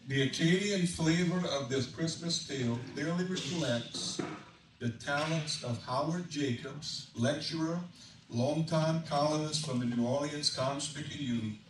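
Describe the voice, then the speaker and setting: A man reading aloud to a gathering in a folksy, dramatic way. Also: medium pitch. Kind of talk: animated live storybook reading. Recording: echoey room, distant mic, noisy.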